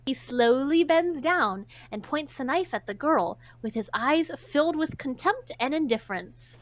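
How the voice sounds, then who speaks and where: Female host for dramatic story reading with irreverent asides; high, lively pitch, slightly dull sound. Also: measured pace. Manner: sarcastic, irreverent. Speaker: host reading a visual novel aloud. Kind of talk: dramatic read-aloud with casual joking asides.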